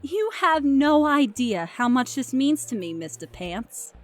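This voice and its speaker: A woman voicing a coy, sly female pony who acts the modest author in an audio play, sweet and drawn-out. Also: high voice, very animated, soft background music. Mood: bashful gratitude. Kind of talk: audio play character acting.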